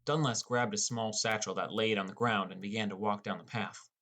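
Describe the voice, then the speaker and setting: A man's voice: steady tone, a little fast, bright, forceful tone. A man reading a first-person fantasy story as an audiobook narrator. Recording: rumbly background noise.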